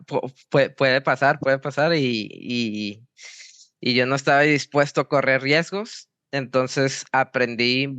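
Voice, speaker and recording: A young man from Mexico, a football coach answering interview questions in a halting way with many restarts. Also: mid-range voice, fairly bright tone, clear, resonant tone, no room sound. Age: young adult. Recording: dry, slightly dull sound.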